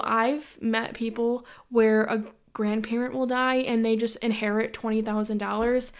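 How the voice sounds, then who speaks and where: A young woman from Western New York hosting her own podcast in a casual, rambling monologue full of opinions and repeated filler phrases. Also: fairly high pitch. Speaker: podcast host.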